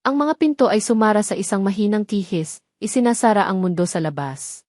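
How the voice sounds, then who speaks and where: A woman narrating a scripted audio drama in a solemn, measured way. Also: medium pitch, lively intonation, darkish tone, no room sound, studio quality. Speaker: story narrator.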